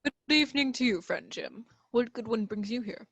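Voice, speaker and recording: Measured, shrewd doctor played by a woman, higher register, dry formal delivery for radio theatre. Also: fairly bright tone, dry recording, muffled recording. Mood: measured, probing. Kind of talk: radio play acting.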